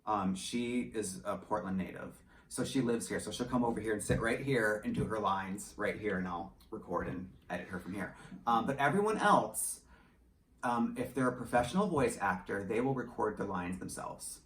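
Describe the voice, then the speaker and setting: A man's voice: on the low side, expressive, moderate pace. An American man from rural Michigan talking candidly and with opinions in a casual interview. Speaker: audio drama writer, producer and drag performer. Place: indoor home studio. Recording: roomy, distant mic, faint background noise.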